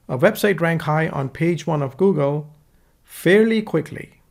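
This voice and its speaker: Indian man with a gravelly voice. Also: fairly high pitch. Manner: casual, instructive, dictates punctuation aloud. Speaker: online course instructor. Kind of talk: screen-recorded tutorial. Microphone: USB desktop microphone.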